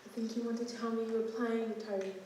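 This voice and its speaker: A woman's voice: fairly high pitch, little pitch movement, quick pace, a little hiss or hum, close to an everyday voice. A woman playing a stern interrogator. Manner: firm, clipped questioning that builds to shouting. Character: stern interrogating officer losing patience. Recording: echoey stage from far off.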